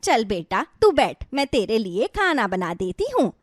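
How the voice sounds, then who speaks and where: Querulous elderly wife, very animated woman acting. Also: a little high, measured pace, no echo, studio quality. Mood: doting. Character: jealous, complaining elderly wife and doting mother. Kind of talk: cartoon moral story voice acting.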